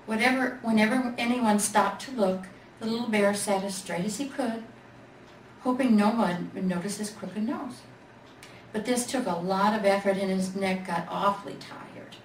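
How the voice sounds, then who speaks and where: A woman storyteller reads a picture book aloud to children in a medium-pitched voice. The recording is echoey and a little distant, with faint noise underneath. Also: normal speed. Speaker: storyteller in character as Mrs. Claus. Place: library event room.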